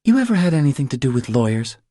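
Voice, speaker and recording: A man voicing a nervous, apologetic woman driver who pleads hesitantly for help in a thriller audiobook, with a breathy voice. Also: mid-range voice, very animated, fast talker, mellow, no room sound, clean studio sound, pitched above the performer's own voice. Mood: frustrated, weary.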